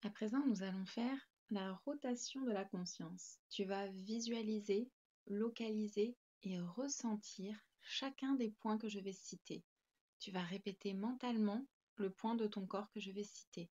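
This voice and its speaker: A woman guides a meditation at a slow pace with a gentle, calming delivery. Her pitch sits in an ordinary middle range for a woman. The recording is a little dull and lo-fi, and her instructions come in short, spaced phrases. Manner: calm, soothing, gentle. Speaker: yoga nidra guide.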